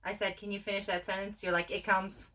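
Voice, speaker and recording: A woman's voice: medium pitch, little pitch movement, fast, pushed and bright. Distant-miked woman co-host, playful. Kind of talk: casual banter. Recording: voice distant from the mic.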